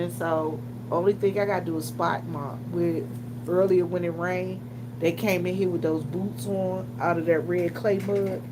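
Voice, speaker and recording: A woman from Washington, D.C. talking casually and colloquially to viewers, telling stories in an animated way. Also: fairly low pitch. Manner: animated, colloquial, acts out other people's remarks. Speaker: vlogger and gas station worker. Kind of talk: casual chatty storytelling to viewers. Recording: noisy room with loud hum. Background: loud humming background noise.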